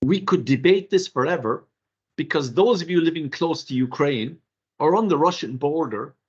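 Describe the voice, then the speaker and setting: An older Irish man whose first language is Irish Gaelic gives a webinar workshop in an animated, rhetorical way, with theatrical asides, heard over a video call. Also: fairly high pitch, normal speed, slightly rough. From Ireland. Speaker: workshop presenter. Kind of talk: webinar lecture. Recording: dull lo-fi webinar audio.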